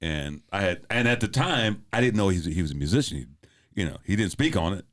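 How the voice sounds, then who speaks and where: A man's voice: low-pitched, fast talker. A man hosting a podcast, chatting casually over beers, slangy and laughing as he tells stories. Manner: slangy, laughing, animated storyteller. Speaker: podcast host.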